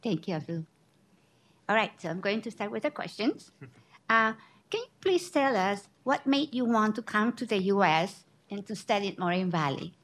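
A woman moderating a college student panel, polite, pausing between her prompts. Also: medium pitch, lively intonation. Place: college library.

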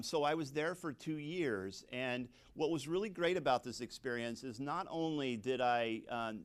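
A man's voice: medium pitch, smooth. A middle-aged man from suburban America with an American accent, an English teacher telling personal stories in a talk, conversationally and with frequent asides. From suburban United States. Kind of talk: personal storytelling in a talk to an audience.

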